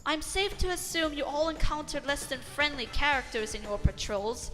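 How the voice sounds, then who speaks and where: A woman's voice: sits high, in a large hall, faint music behind. Composed English manservant, played by a woman, courteous and formal, for a dramatic reading. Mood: calm, formal. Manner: calm, formal, measured. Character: calm, courteous English male butler.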